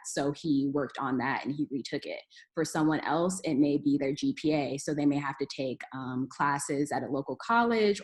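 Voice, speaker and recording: A woman moderating a video-call panel, explaining the reapplication steps plainly before handing over to the panelists. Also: low voice. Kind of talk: casual advice on a video call.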